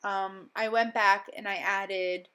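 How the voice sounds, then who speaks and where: A woman's voice: mid-range voice, fairly even intonation, takes it slowly, sharp tone, slight background noise. Young woman talking over her crafting video, stuffy from a cold, lots of ums and giggles. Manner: chatty, rambling, many ums, laughs often. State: slightly stuffy, recovering from illness. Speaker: crafting vlogger. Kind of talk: casual voiceover narration. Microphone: voiceover mic.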